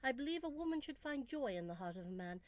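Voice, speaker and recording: A woman's voice: high voice, very animated, fast talker, pitched above the performer's own voice. A woman voicing a bold, melancholy young Victorian Englishwoman in a storybook, candid and earnest, with formal, emphatic phrasing.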